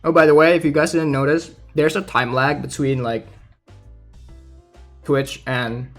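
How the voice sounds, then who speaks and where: Higher-voiced man, casual game streamer. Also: little pitch movement, a little slow, a bit bright, soft background music. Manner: thinking aloud, hesitant, frequent "like". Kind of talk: casual livestream commentary, reading aloud and reacting.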